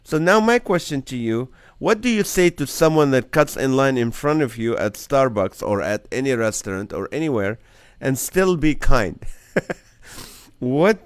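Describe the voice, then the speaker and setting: Chatty comedian man with a smooth voice. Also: medium pitch, expressive, unhurried, no echo. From Middle East. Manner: chatty, laughs between jokes. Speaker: comedian host. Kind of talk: casual live-stream comedy chat.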